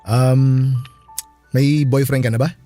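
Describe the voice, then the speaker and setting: Young single father for Filipino radio drama, earnest and courteous, average male pitch, music bed male actor. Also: steady tone, slow, mellow, no room sound, lightly acted. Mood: casual, friendly. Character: polite, earnest young single dad, former flame.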